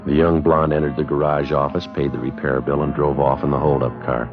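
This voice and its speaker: An American man playing a terse, unflappable police detective, narrating in clipped, deadpan 1950s radio drama style. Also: extremely low pitch, brisk. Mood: deadpan, factual. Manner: deadpan, matter-of-fact. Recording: muffled 1950s radio broadcast with music.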